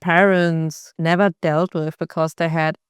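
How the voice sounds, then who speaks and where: A woman hosting a podcast interview, agreeable and relaxed, giving short replies and chatting about growing up between cultures. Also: low voice, unhurried, clear, resonant tone, no echo, clean studio sound. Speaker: podcast host.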